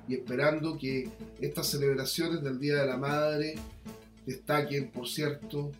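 A Chilean man hosts community radio in a formal presenting style. His voice is on the high side, recorded with noticeable room echo over soft guitar music. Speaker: radio programme host. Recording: roomy sound with guitar music behind.

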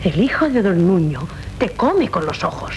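An actress playing a bustling, teasing, protective nursemaid in Spanish radio theatre, wry and familiar, with a Castilian accent. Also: female voice, lowish voice, very animated, normal speed, creaky. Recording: faintly noisy 1970s radio recording.

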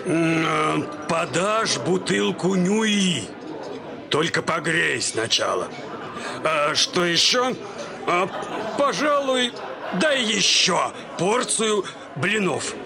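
A lordly glutton, high slow voice. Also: male voice, animated, creaky, crowd noise, full character voice. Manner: leisurely, lordly, hemming over his orders. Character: hearty, demanding Russian gentleman glutton ordering food. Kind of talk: acted radio short story. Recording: music behind the voice.

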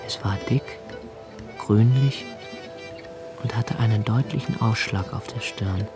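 A brooding young poet in a radio drama, speaking low, slow and hushed with a gravelly edge. Music runs clearly beneath his voice. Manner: slow, hushed, intimate, drops to near whisper. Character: young male poet narrator, anxious and observant. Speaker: narrator in a radio play. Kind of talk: literary radio drama reading.

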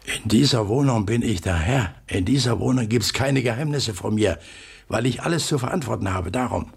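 An actor playing a stern, stubborn middle-aged father in a radio drama, terse and authoritative, with a husky, gravelly voice. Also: medium pitch, expressive, normal speed, exaggerated voice.

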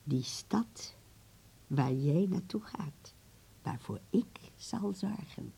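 An actress playing an elderly grandmother in a radio drama, frail yet wise, tender and firm, speaking deliberately in a breathy old voice. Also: fairly low pitch, expressive, whispered, full character voice. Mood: gently admonishing. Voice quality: husky, breathy, creaky old voice. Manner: slow, deliberate, with repeated words.

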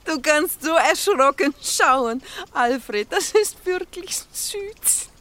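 A woman's voice: high-pitched, expressive, a bit bright, exaggerated voice. An actress playing a playful, flirtatious Slovak woman biologist who teases and halts while searching for words. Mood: teasing. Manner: teasing, halting word searches.

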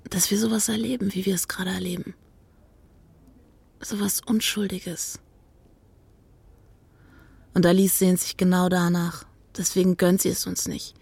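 A woman playing a wistful, searching lover in an intimate radio drama, speaking in a hushed, breathy half-whisper. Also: lowish voice, little pitch movement, a little slow, long pauses, full character voice.

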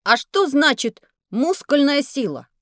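High-pitched, excitable schoolboy. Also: female voice, measured pace, dry recording, clean studio sound. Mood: eager, curious. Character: excitable, adventurous schoolboy dreaming of heroic deeds. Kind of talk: children's educational audio drama.

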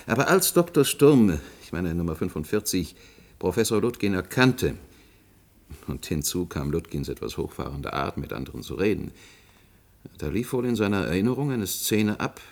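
An actor playing a careful expert witness in a German radio play, formal and explanatory with some hesitation. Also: male voice, low voice, animated, moderate pace, gravelly, full character voice. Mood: uneasy, explanatory.

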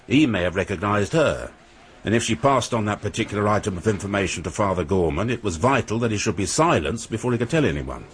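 An actor playing a shrewd, methodical British police inspector in a radio drama, speaking in a measured, formal way with a husky voice. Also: male voice, lowish voice, a little hiss or hum. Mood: brisk, reasoning.

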